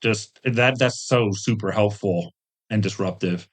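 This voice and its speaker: A man's voice: fairly low pitch, measured pace. A man telling rambling, self-deprecating anecdotes with jokey asides as a guest in a casual interview. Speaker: guest, tabletop game designer and webcomic artist.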